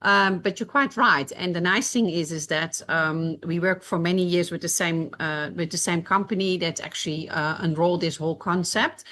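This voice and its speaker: A woman's voice: lowish voice, moderate pace, hardly any pauses, a bit bright, a little hiss or hum. A woman with a Dutch accent, co-owner of a safari camp, answering interview questions with many fillers and restarts. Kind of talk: podcast interview.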